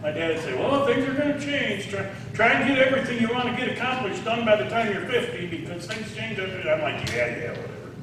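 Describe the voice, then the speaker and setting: A man's voice: high-pitched, animated, fairly quick, few pauses, background noise. Man in his sixties preaching in an echoey hall. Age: 60s. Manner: conversational preaching, asks the congregation questions. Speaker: pastor. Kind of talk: sermon. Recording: echoey hall, distant mic.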